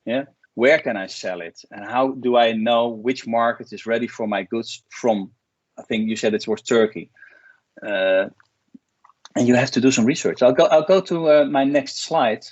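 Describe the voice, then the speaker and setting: A man coaches entrepreneurs in an online workshop, speaking plainly and restarting sentences often. His voice sits at an ordinary pitch. The video-call audio is dull and lo-fi. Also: normal speed, dry recording. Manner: plain-spoken, many restarts and fillers. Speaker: export coach.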